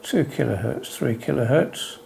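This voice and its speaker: A man giving a hobbyist's spoken equipment demonstration, calling out readings in a measured, deliberate, matter-of-fact way. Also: mid-range voice, big pitch swings, gravelly, a little mellow, slight background noise.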